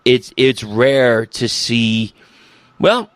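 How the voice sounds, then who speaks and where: A man's voice: mid-range voice, lively intonation, extremely slow, no echo. A man hosting a casual podcast interview, talking in a loose, rambling way with the odd swear word. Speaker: podcast host.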